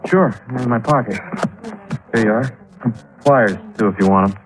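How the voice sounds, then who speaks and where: An actor as an obliging studio engineer. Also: male voice, lowish voice, normal speed, faint music behind, natural voice, low-quality recording. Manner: obliging, casual. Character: male studio sound engineer, helpful then coolly guilty. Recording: old radio broadcast recording.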